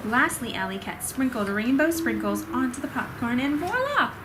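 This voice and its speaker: Slightly high-voiced woman reading a storybook. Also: sing-song, normal speed, noisy background. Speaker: storyteller reading to her child. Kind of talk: expressive children's storybook reading.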